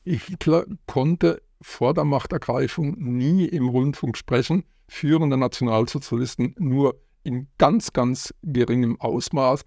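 Historian guy giving expert comments, medium pitch, dry sound. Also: a little slow, few pauses, dark tone, dry recording.

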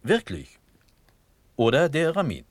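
An actor playing a dreamy, lovestruck, absent-minded young Prussian prince in a classical German radio play, with an impulsive, distracted delivery. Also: male voice, fairly high pitch, huge pitch swings, measured pace, no room sound, heavily acted. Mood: eager, infatuated.

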